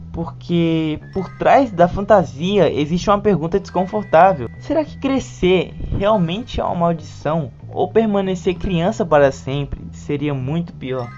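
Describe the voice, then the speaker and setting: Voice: mid-range voice, darkish tone. A narrator with a Brazilian Portuguese accent delivering a scripted video essay with informal touches. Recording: dull lo-fi sound, music behind. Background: background music.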